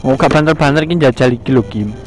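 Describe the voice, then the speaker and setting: A man's voice: mid-range voice, fast talker, soft background music. A man from Indonesia, chatting and commenting casually in slangy, repetitive phrases as he rides a motorbike outdoors. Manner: slangy, mixes local speech with formal narration, repeats phrases. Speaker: motovlogger and host. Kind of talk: casual chat and commentary while riding. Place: outdoors on a motorbike on a village road.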